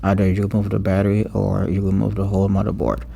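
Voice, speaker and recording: A man giving an unscripted step-by-step repair tutorial, pausing mid-sentence and repeating words as he goes. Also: low-pitched, completely flat delivery, creaky, mellow, a little hiss or hum.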